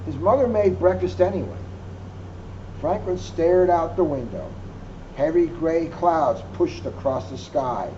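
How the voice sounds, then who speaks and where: A police officer reading a children's picture book aloud, adding wry asides. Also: male voice, on the high side, a little slow, warm tone. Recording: muffled lo-fi recording with faint hum.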